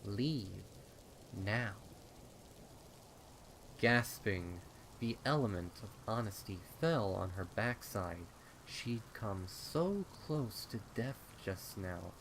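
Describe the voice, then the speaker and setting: A man narrating a dark horror story in a dramatic, ominous style with deliberate phrasing. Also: lowish voice, expressive, noisy background. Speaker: fan fiction narrator. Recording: rain and storm ambience behind. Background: rain.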